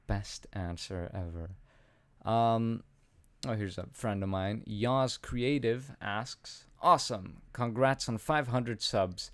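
An eighteen-year-old man from Iceland hosts a relaxed home Q&A, speaking slowly in a somewhat low voice. He rambles, loses his thread, and laughs at himself between ums. The sound is dry and close, from a studio mic in a small room. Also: no echo. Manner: rambling, self-deprecating, lots of ums and laughs. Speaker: channel host answering viewer questions. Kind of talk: casual Q&A chat. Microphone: studio condenser mic with pop filter, wearing headphones.

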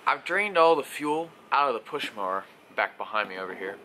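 A man's voice: mid-range voice, fairly quick, slight background noise, poor audio. Young man vlogging from a workshop. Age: young adult. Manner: relaxed, talks while working, frequent uh and um. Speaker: vlogger doing equipment maintenance. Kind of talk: casual how-to vlog. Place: workshop garage, indoors. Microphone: action camera close up.

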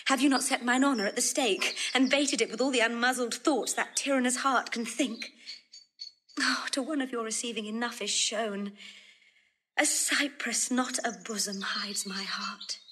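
An actress playing a proud, passionate, lovesick noblewoman in classical verse drama, with a breathy voice. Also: a little high, steady tone, normal speed, pushed and bright. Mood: anguished. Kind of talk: classical verse drama acting, recorded audio drama.

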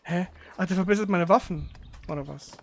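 A man's voice: high voice, a little fast. A man doing casual gaming commentary, thinking aloud in a slangy, grumbling way. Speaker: let's player. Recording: clear background noise.

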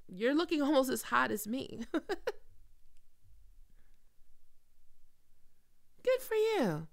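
A woman's voice: medium pitch, creaky. A woman playing a demanding, sardonic, flirty diva film star in an ASMR roleplay. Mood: teasing, flirty. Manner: sardonic, swearing, teasing.

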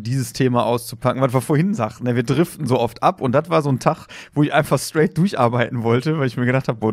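A man's voice: medium pitch, a little mellow, no room sound, studio quality. A man co-hosting a podcast in casual chat, feeling his way through his thoughts with tag questions. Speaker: podcast co-host.

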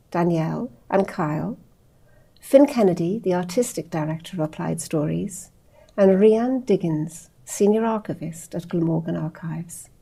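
A middle-aged woman from an archive's outreach team giving a measured, formal scripted introduction, recorded on a lapel mic in a small room. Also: lowish voice, gravelly, mellow, slightly rough.

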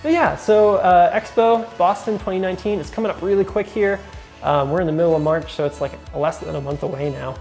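A young man hosting a casual talk to camera, enthusiastic and chatty with many fillers, in a bright indoor room. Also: high voice, fairly quick, faint music behind. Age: young adult. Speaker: host.

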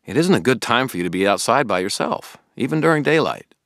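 A man's voice: mid-range voice, sing-song, fast talker, creaky, fairly bright tone, no echo, studio quality, voice pushed up. A man voicing an earnest, worried young male friend in an audiobook, pushy, blunt and informal. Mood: insistent, concerned. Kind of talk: acted audiobook dialogue.

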